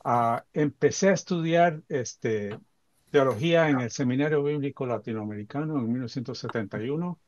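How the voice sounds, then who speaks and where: A man's voice: medium pitch, moderate pace, dry recording, muffled recording. Older man rambling with many hesitations. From Costa Rica. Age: 70s. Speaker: seminar participant. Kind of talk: casual self-introduction. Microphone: video call.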